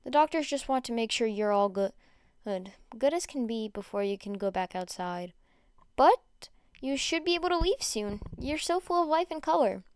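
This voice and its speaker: Woman acting as teenage boy, gentle, reassuring, kind, high smooth voice, audio story. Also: animated, moderate pace, dry recording, lightly acted. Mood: tender.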